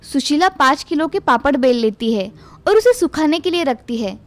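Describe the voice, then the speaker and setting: A woman's voice: high voice, animated, moderate pace. A woman narrating a scripted moral story for children.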